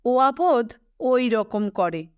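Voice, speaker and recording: A woman's voice: fairly high pitch, expressive, extremely slow, darkish tone, clean tone, dry recording, highs cut off. A woman voicing a genial, curious male city office-worker in an audio story, lightly conversational.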